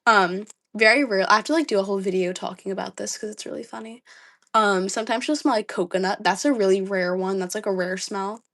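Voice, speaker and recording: A woman's voice: mid-range voice, fairly even intonation, quick pace, fairly bright tone. A young woman with a slightly dry voice, chatting casually on a livestream, reading comments aloud with lots of ums and likes. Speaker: livestream host.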